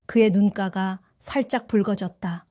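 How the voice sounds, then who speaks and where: An older woman narrating her own story in an audio drama, calm and reflective. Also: mid-range voice, unhurried, no echo, clean studio sound. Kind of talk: scripted first-person audio drama narration.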